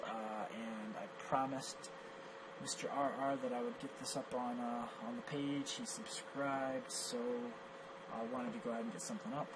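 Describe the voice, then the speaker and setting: A man with a hoarse, worn-out voice talks through poker hands, judging his own play and his opponent's as he goes. His pitch sits in the middle range, and a clear hum of background noise runs under the recording. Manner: analytical, self-critical, thinks aloud with fillers. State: hoarse, lost voice from coughing. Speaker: poker coach reviewing his own match. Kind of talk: screen-recorded hand review commentary.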